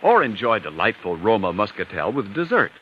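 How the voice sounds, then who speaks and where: Animated American announcer on vintage radio. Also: male voice, lowish voice, brisk. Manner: polished, salesman-like. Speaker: radio announcer and sponsor pitchman. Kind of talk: 1940s radio commercial announcing. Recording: dull, noisy 1940s radio recording.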